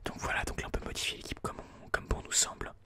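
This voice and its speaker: A person whispering informal, explanatory ASMR gameplay commentary in a breathy, unvoiced voice, a little hesitant, close on a binaural mic. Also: quick pace. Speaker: ASMR gaming video host. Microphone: close binaural microphone.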